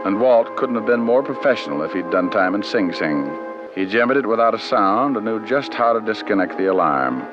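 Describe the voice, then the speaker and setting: An actor playing a cocky, hard-boiled private detective in vintage radio crime drama. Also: male voice, lowish voice, expressive, fairly quick, close to an everyday voice. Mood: tense narration. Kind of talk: 1940s-50s radio detective drama acting and narration. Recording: muffled vintage radio recording, music behind.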